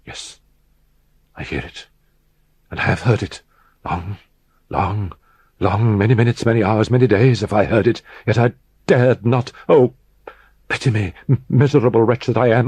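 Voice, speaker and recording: Gothic audiobook role: tormented madman, husky, pitched well up, theatrical and full of guilty dread. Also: a little slow, darkish tone, no room sound. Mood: haunted murmuring, then anguished dread. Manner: tormented, theatrical, repeating words, building to shrieks. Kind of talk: gothic horror audiobook character acting.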